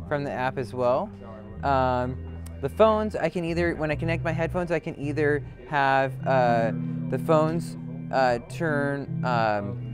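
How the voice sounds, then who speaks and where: A man demonstrating a product in an unscripted walkthrough, speaking as a brand representative. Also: mid-range voice. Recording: loud music behind the voice. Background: loud music.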